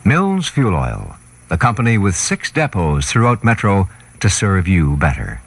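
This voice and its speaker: A man reading a scripted 1960s radio commercial in an earnest, measured way, as an announcer on a vintage aircheck. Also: sits low, huge pitch swings, breathy edge.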